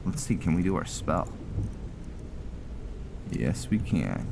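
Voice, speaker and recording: A man giving casual roleplay gameplay commentary, thinking aloud in a halting way as he goes. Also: sits low, sing-song, creaky, noisy background. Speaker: gaming channel host.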